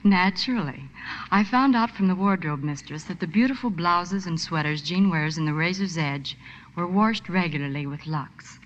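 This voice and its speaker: A woman's voice: fairly low pitch, normal speed, a little hiss or hum. A young woman as a starlet guest, speaking scripted advertising chat on 1940s radio. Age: young adult. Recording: dull lo-fi 1940s radio recording.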